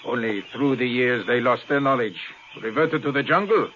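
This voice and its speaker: An actor playing a cold, secretive, proud space explorer in 1950s radio drama, clipped and commanding. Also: male voice, medium pitch, moderate pace, a bit bright, lightly acted. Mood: guarded, evasive. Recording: noisy, muffled 1950s radio broadcast.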